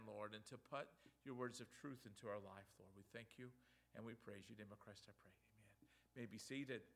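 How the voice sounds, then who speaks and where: A man's voice: medium pitch, a bit bright. Pastor guy praying earnestly, says "lord" every other line, then introduces the guest. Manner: earnest, repeats "Lord" in prayer. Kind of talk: prayer and introduction of a speaker.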